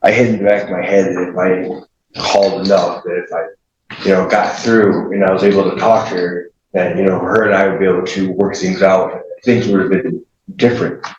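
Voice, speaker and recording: A man in his forties speaking as a defendant, making a halting, contrite statement in court. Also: low-pitched, flat delivery. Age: 40s. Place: echoey indoor courtroom. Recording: echoey, muffled, faint noise.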